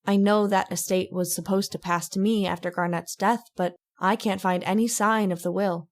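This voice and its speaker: Woman doing scared haunted lady, pleading and halting, middling pitch, old radio play. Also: steady tone, close to an everyday voice. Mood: sorrowful confiding. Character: distraught, haunted young woman confessing, earnest and fearful. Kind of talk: old-time radio drama acting.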